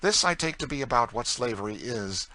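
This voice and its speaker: A witty, idle young gentleman talks with lazy irony, as a man reads him aloud. The voice is bright and clear-edged, mostly in a relaxed register. He teases and lectures in the same easy drawl. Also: medium pitch, fairly quick, voice pushed up. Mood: impassioned. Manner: bantering, ironic, then impassioned. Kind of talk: audiobook character voice.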